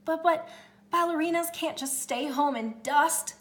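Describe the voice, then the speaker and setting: A high, sing-song young javelina girl played by a woman, full of dreams. She is a theatrical storybook heroine with ballerina ambitions. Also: a little slow, a bit bright, reverberant. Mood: worried. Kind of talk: children's storybook character voice.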